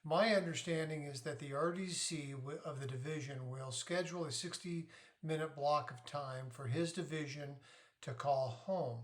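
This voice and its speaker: Higher-pitched middle-aged man explaining things. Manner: deliberate, methodical, poses questions then answers them. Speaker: host, navy parent giving advice. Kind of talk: informal explainer talk.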